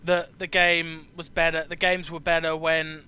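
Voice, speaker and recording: Male chat host, very bright and forceful, high-pitched, halting but emphatic. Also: little pitch movement, clean tone. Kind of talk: online interview.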